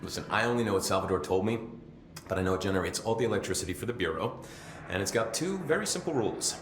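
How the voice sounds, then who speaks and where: An actor playing a chatty, nervy, loyal maintenance security chief in a video game, rambling informally with wry swearing. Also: male voice, fairly low pitch, animated, fast talker, reverberant, slight background noise. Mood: wry, candid.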